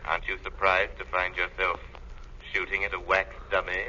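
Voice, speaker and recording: An actor playing a mysterious invisible avenger, knowing and commanding, with formal, taunting diction in a 1930s radio crime drama. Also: male voice, low-pitched, monotone, moderate pace, sharp tone, dull audio, a little hiss or hum. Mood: mocking. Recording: band-limited vintage 1930s radio recording.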